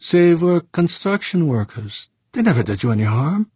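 A man voicing an excitable older man, raised and exclaiming, in an audiobook. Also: fairly high pitch, normal speed, slightly rough, dry recording, pitched above the performer's own voice. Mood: reproachful.

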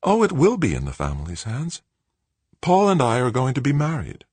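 Composed, diplomatic executive mother, played by a male narrator: lifted pitch, lively intonation, audiobook drama. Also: normal speed, husky, dry recording, heavily acted. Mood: slightly wicked. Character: calm, cool, calculating executive woman, mother of an heir.

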